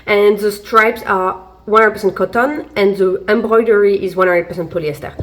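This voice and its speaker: A woman's voice: mid-range voice, fairly even intonation, normal speed. Chatty woman vlogger with a fading cold. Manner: chatty, many fillers and restarts. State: recovering from a cold. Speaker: fashion vlogger. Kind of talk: casual try-on haul review. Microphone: camera mic while seated.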